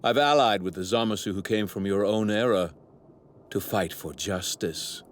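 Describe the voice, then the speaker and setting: An actor playing an arrogant, righteous god villain in an anime dub, with pompous, theatrical, formal delivery. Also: male voice, lowish voice, normal speed, slight background noise, natural voice. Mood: smug. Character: arrogant male god villain, righteous zealot who despises mortals. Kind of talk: dubbed anime villain acting. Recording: sound effects bleeding behind voice.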